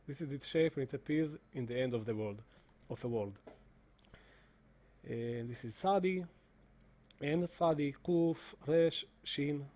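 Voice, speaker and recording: Guy walking through his software, soft dark voice, lots of uh's and restarts. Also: fairly high pitch. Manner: halting, many fillers and restarts. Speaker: presenter demonstrating software. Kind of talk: screen-recorded explanation.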